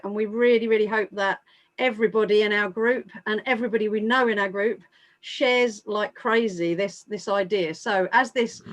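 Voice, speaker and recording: An English woman speaking informally and earnestly as a scout leader, enthusiastic and emphatic in making her appeal. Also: a little high. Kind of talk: informal appeal at an online awards evening.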